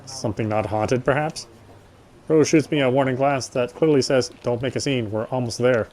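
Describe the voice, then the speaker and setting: A man with a medium-pitched voice reads story text from a game aloud. He is casual about it, drops in his own comments and now and then trips over a line and rereads it. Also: normal speed, darkish tone. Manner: stumbles and rereads lines. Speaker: gamer reading a visual novel aloud. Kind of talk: reading game narration with casual asides.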